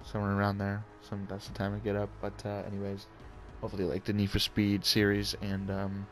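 A young man with a deep voice talking quietly and hesitantly to his viewers as a gaming channel host, with many ums and uhs. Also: completely flat delivery, a little fast, faint music behind. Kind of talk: casual talk to viewers.

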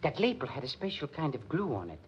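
An actor with a German accent playing a lovesick, deranged musician turned murderer, sinisterly tender and theatrical in 1940s radio style. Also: male voice, medium pitch, sing-song, fast talker, lightly acted. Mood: gloating. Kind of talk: 1940s radio drama acting. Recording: muffled 1940s radio recording, faint noise.

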